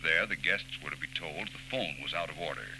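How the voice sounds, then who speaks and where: Deep-voiced, suave radio detective. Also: male voice. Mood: smug, explanatory. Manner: precise, unhurried, coolly confident explanations. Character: suave, self-assured male private detective explaining his case. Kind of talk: 1940s radio detective drama acting. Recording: noisy old radio recording with hum.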